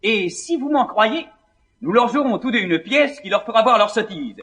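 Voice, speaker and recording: An actor playing a vain, scornful young gentleman in a classical French stage comedy, declaiming with indignation. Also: sits high, animated, moderate pace. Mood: vengeful.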